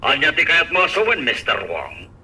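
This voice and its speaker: An actor playing a hard, calculating crime boss in a radio drama, a little off the mic with some noise. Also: male voice, on the high side, wildly swooping pitch, normal speed, pushed and bright, far from the mic, faint music behind, heavily acted. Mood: defensive.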